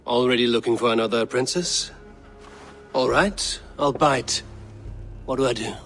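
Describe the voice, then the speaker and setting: A man's voice: mid-range voice, normal speed, a bit bright, faint music behind, close to an everyday voice. A man playing a proud, blunt Viking warrior in a video game, with a terse, wry and defiant way of talking. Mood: wry, resolute. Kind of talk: video game dramatic acting.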